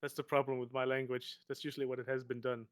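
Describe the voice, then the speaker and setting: A mid-pitched man talks hesitantly in a casual chat, with slightly non-native phrasing and some pauses. The sound is dry and close, with no room around it. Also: little pitch movement, dry recording. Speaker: podcast co-host.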